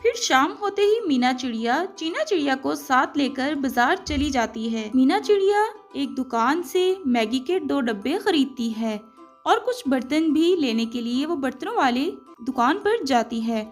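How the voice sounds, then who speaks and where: A high-voiced woman narrates a children's moral tale in scripted storybook style. Music plays behind her as she tells it. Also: animated, a little slow.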